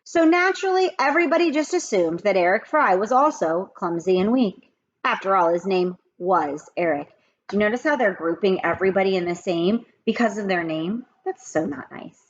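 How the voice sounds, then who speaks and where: A woman teacher reading a chapter aloud to children, expressive and talking to the kids directly between passages. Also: mid-range voice, moderate pace, dull audio. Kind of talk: children's read-aloud with asides.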